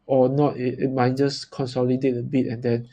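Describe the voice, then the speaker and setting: A man from Singapore with a Singaporean accent gives informal commentary as a trader, in a halting, repetitive, stop-start way. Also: mid-range voice, level tone, dark tone. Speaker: forex trader presenting market analysis. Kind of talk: informal live chart commentary.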